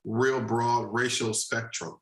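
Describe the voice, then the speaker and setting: A man from New Jersey, a social justice advocate and guest, making his case in a measured, deliberate way and repeating key phrases. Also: medium pitch, level tone, a bit bright, roomy sound, poor audio. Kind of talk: earnest policy discussion.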